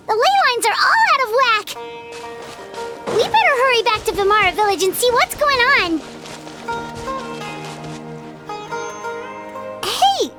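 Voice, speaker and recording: A woman voicing a tiny, excitable, childlike fairy companion girl in a video game. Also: very high-pitched. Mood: urgent, excited. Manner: speaks of self in third person, exclamatory.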